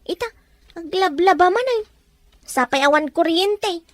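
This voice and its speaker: A woman's voice: very high voice, animated, measured pace, dry recording, clean studio sound. A woman with an Ilocano accent playing a worried older mother in a radio drama, fretful and protective. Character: worried older mother fussing over her daughter. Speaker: visiting mother.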